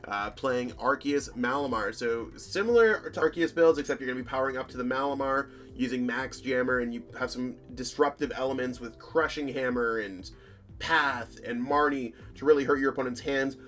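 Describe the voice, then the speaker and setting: Slightly hoarse man chatting casually. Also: mid-range voice, normal speed, a bit bright, faint music behind. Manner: chatty, rambling, lots of fillers and self-corrections. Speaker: channel host reviewing card game deck lists. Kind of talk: casual commentary over deck lists.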